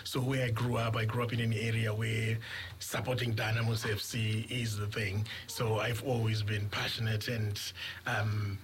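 A middle-aged man from Zimbabwe, a radio guest who talks earnestly and haltingly, often repeating words as he thinks. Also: lowish voice, flat delivery. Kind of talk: radio interview.

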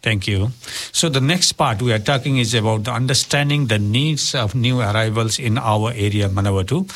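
A man co-hosting a community radio interview in a studio, reading questions formally in slightly halting English. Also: mid-range voice, fairly bright tone. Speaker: radio show co-host.

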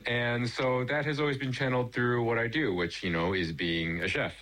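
A man with an American accent, a chef answering interview questions in a relaxed, conversational way. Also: medium pitch, moderate pace, low-quality recording. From New York. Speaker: guest, chef.

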